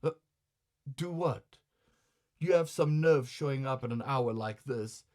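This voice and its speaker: A man's voice: mid-range voice, unhurried, a little mellow, natural voice. A man playing a grumbling, hot-tempered, vain male customer in a comic story, blustering with sarcastic, colloquial indignation. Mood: scornful, irritated.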